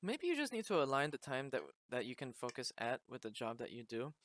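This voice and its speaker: A man's voice: mid-range voice, very animated, brisk, fairly bright tone. A man hosting a podcast, chatting and asking questions in a casual, conversational interview style. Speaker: podcast host.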